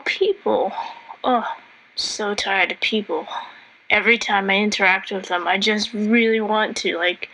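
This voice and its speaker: Slow, bored, jaded caller. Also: female voice, mid-range voice, sharp tone, exaggerated voice, rough recording. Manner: drawling, weary, hesitant. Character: bored, jaded woman sick of people. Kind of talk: acted casual voicemail.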